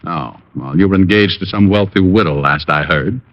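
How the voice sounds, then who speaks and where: An actor playing a cocky, easygoing private detective in a vintage radio drama, with dry, flippant delivery. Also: male voice, low-pitched, moderate pace, no room sound, lightly acted. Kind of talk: 1940s radio acting. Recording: dull vintage radio recording.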